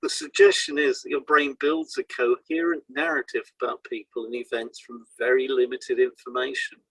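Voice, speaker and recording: A man co-hosting an educational podcast, reading scripted explanations in a measured, explanatory way. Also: mid-range voice, fairly even intonation, a bit bright, breathy edge, no room sound, poor audio. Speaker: podcast co-host and hypnotherapist.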